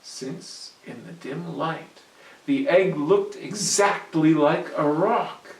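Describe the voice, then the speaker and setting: A storyteller reading a picture book aloud to children in an animated, explanatory way. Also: medium pitch, takes it slowly. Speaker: storyteller, host. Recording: echoey room, distant mic.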